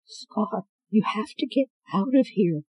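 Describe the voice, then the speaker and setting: Casual: weak teary old granny the reader puts on, higher than the narration, husky and dry, begging softly. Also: lively intonation, slow, dry recording, full character voice, low-quality recording. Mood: pleading weakly. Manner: weak, pleading, breaking. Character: frail injured old grandmother, weeping.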